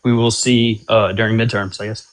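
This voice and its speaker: A man joining a casual online political discussion, asking questions quickly and politely. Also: lowish voice, little pitch movement.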